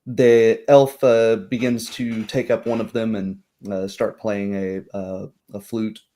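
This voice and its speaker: A man narrating a tabletop roleplaying game as game master, describing things in a halting, improvised way. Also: on the low side, little pitch movement, warm tone.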